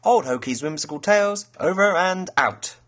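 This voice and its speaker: A man's voice: high-pitched, animated, moderate pace, very noisy. A man, an author reading his children's fairy tale as a storyteller.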